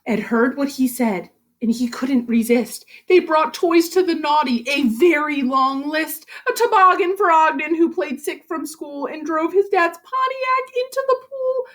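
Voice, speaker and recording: A woman reads a children's story aloud like a school teacher. Her voice is high and sweeps widely in pitch, following the rhymes with an expressive, rhythmic delivery. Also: a little slow, hardly any pauses. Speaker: elementary school reading teacher.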